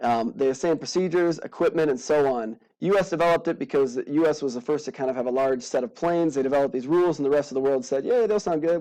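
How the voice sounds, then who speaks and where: A man's voice: fairly high pitch, warm tone, poor audio. A man giving an informal aviation lesson as an instructor, with casual, playful asides. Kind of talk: informal aviation lesson narrated over slides.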